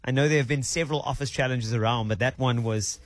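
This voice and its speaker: A man's voice: medium pitch, fast talker, no echo. South African male radio host, chatty storyteller, close dry studio mic. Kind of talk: casual radio chat. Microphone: studio microphone.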